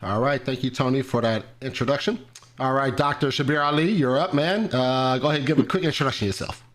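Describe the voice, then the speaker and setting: A man in his thirties hosting a live debate show, relaxed and casual, saying "man" a lot. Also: medium pitch, quick pace. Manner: laid-back, says "man" often. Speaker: host.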